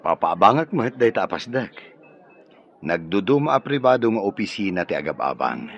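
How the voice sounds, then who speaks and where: An actor playing an eager, flirtatious, easily smitten bachelor, hesitant and solicitous, with an Ilocano accent in radio drama style. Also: male voice, fairly low pitch, very animated, brisk, lo-fi sound. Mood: eager, courting. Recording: faint noise and ambience.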